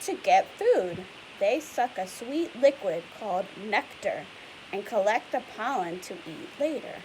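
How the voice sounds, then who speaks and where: Lively woman reading to children. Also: medium pitch, measured pace, rough recording. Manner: chatty and explanatory, asks children questions. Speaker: teacher reading aloud. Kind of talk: read-aloud lesson for children. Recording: faint hiss.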